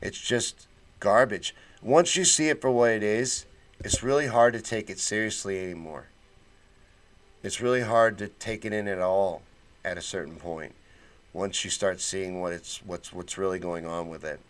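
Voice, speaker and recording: Fired-up low-voiced man ranting on a livestream. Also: moderate pace. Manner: blunt and fired-up, folksy slang. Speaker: political livestream host. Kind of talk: casual livestream commentary reading chat.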